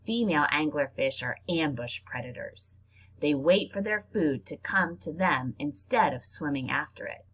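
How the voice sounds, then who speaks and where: Expressive woman reading aloud, lively and slightly husky. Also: low-pitched, moderate pace, low-quality recording. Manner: expressive, informal reactions between passages. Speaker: reader reading a children's nonfiction book aloud. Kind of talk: read-aloud narration with casual spoken asides.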